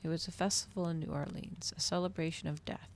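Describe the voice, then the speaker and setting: A woman reading a story aloud in a soft, hushed ASMR style. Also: on the low side, steady tone, fast, a little hiss or hum. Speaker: streamer reading a novel aloud.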